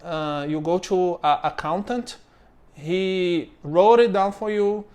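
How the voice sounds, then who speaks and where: A man from Brazil, a native Portuguese speaker, explaining steps in English with a Brazilian accent, halting and full of fillers and "okay?" checks. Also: high-pitched, a little mellow. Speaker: former business owner explaining steps. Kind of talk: informal explainer to camera.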